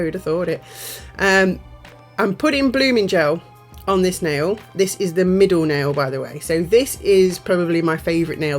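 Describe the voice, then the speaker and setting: A woman, a little nasal and bunged up from a cold, chatting casually through a nail art tutorial voiceover. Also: lowish voice. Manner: chatty, rambling, self-correcting. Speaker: nail art channel host.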